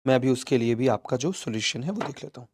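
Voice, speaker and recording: A man with an Indian accent and a slightly hoarse throat, teaching a live online history class and reassuring his students as he goes. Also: mid-range voice, expressive, quick pace, a little mellow. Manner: reassuring, calls students "beta", mixes English into Hindi.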